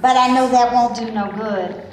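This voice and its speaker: A very echoey recording of a staged play reading. An actress plays a wry, indignant maid who dreams of acting, a woman with a fairly high voice and plenty to say. There is faint noise around her. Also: moderate pace, a little mellow, lightly acted. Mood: bitter, angry.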